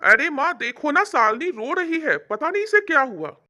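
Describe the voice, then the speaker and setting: A woman's voice: sits high, sing-song, normal speed, bright, forceful tone, full character voice. A woman voicing a concerned young husband in a family story, coaxing and questioning in a placating manner.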